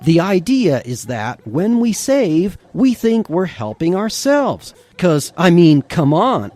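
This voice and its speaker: A man's voice: fairly high pitch, mellow. A man delivering a scripted, sarcastic monologue, chuckling and asking the listener rhetorical questions. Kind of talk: scripted monologue with mock outrage.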